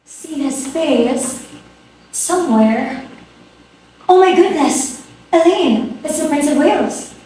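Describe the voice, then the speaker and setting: Woman acting a high-voiced, flustered society hostess, very echoey. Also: animated, takes it slowly, pauses often, warm tone. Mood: flabbergasted. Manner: theatrical, exclamatory, indignant. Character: flustered, imperious society hostess and mother, melodramatically exasperated. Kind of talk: amateur dramatic reading of a comic stage play. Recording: very echoey room, distant mic, faint noise.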